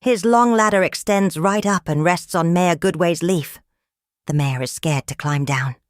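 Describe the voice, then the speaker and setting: A woman reading a children's story aloud in an expressive storytelling manner. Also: fairly low pitch, unhurried, no echo, clean studio sound. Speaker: storybook reader. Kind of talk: scripted read-aloud narration of a children's story.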